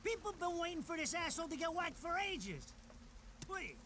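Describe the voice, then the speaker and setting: Shrill wisecracking wiseguy. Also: male voice, sing-song, moderate pace, pushed and bright, a little hiss or hum, heavily acted. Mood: cocky, griping. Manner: wisecracking, whiny, profane, slangy. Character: squat, nervous, foul-mouthed wiseguy henchman, talkative and cowardly. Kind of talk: animated video game cutscene acting.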